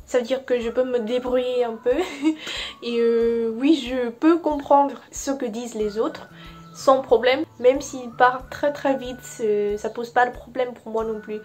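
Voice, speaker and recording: Slow, high-voiced young woman, Vietnamese accent. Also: fairly even intonation, a little mellow, faint music behind. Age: young adult. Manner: slow, careful, with frequent hesitations. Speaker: vlogger. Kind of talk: casual talk to camera.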